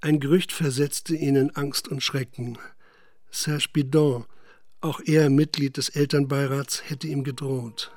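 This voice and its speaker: A man narrating a radio play in a measured, matter-of-fact literary style. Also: medium pitch, steady tone, a little mellow, no room sound, lightly acted. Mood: measured, sober. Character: sober male narrator recounting a village scandal.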